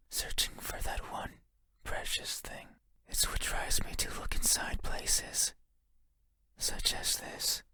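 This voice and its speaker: A man voicing a lonely, faceless horror game entity, menacing and mournful, in a breathy whisper with a slow, halting, intimate delivery. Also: sharp tone. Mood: brooding. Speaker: voice actor.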